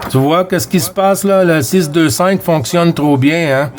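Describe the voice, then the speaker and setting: Very noisy radio static surrounds a man with a Quebec French accent and a fairly high voice. He works as a CB operator, speaking slowly in repeated friendly greetings and casual contact calls. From Quebec, Canada.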